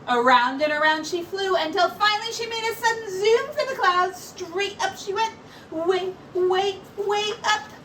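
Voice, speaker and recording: A woman teacher reading a picture book aloud to young children in an expressive, animated storytelling manner with dramatic pauses. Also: very high-pitched. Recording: distant mic, faint background noise.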